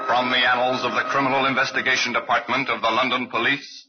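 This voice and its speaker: A man's voice: mid-range voice, little pitch movement, quick pace. A man announcing a vintage radio crime series in a dramatic, formal style. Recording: muffled old radio recording. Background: loud music.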